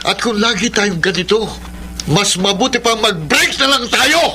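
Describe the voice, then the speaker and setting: A man's voice: high voice, normal speed, sharp tone, faint music behind, exaggerated voice. An actor playing a weary, exasperated young Filipino man fed up with his jealous girlfriend in a radio drama. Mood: exasperated, arguing. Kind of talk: Filipino radio drama acting and letter narration.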